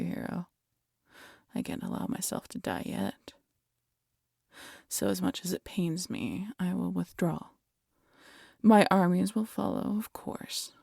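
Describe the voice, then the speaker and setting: A woman playing a proud, vengeful demon queen in an audio roleplay, theatrical and menacing, often dropping to a breathy hush. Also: lowish voice, dry recording, studio quality, exaggerated voice. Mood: grudging, cold. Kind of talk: scripted audio roleplay addressed to listener.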